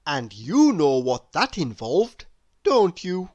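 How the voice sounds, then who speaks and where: A woman narrating a children's picture book aloud in an expressive, deliberate, theatrical storytelling manner. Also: low-pitched, dry recording, muffled recording. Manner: deliberate, theatrical, with playful exclamations.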